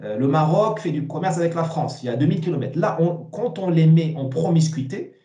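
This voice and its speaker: A man, giving emphatic, opinionated interview answers with repeated words and halting starts. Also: on the high side, animated, dark tone, reverberant. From Morocco. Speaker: interview guest, essayist.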